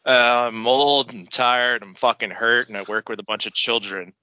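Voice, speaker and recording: Sniffly, joking man, bright and dry. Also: medium pitch, steady tone, moderate pace, no room sound, highs cut off. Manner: laid-back, joking, swears a lot, laughs often. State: congested, recovering from flu. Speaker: podcast co-host. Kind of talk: casual podcast chat.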